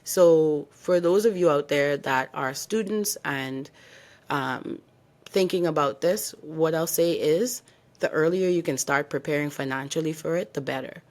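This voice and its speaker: A woman's voice: low-pitched, measured pace, darkish tone. A young adult woman from the Bahamas, co-hosting a podcast and candidly telling her own story and giving advice. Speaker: podcast co-host. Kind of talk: casual podcast chat.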